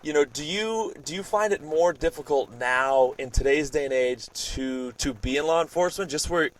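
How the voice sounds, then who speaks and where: A man hosts a casual podcast interview, eager and excitable, asking rambling questions full of "you know" and "uh". His voice sits somewhat high for a man. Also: moderate pace, poor audio. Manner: excitable, eager, lots of fillers. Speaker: podcast host.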